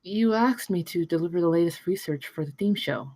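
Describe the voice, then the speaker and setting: A woman's voice: lowish voice, fairly quick, darkish tone. A woman reading the part of a frank, earnest writer at a screenplay table read. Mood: skeptical. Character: frank, earnest female writer colleague named Peggy.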